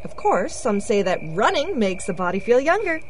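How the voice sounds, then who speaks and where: An actress playing a witty, self-assured quantum physicist in an audio drama, explaining things in a wry way. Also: female voice, a little high, big pitch swings, normal speed, noisy background. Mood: wry, explanatory. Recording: outdoor night ambience with crickets, frogs. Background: crickets and frogs.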